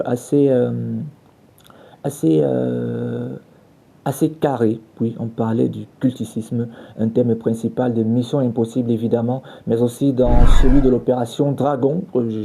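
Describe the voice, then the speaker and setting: A man hosting a culture podcast, speaking in a halting, semi-scripted radio style with searching pauses. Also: medium pitch, steady tone, vocal fry, mellow. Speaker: podcast host, culture journalist.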